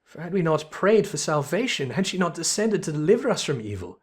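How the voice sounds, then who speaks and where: A man's voice: fairly high pitch, big pitch swings, a little fast, slightly rough, voice pushed up. Male reader as repentant game heretic, solemn, earnest, archaic and formal. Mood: solemn, resigned. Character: solemn, repentant heretic follower in a fantasy war.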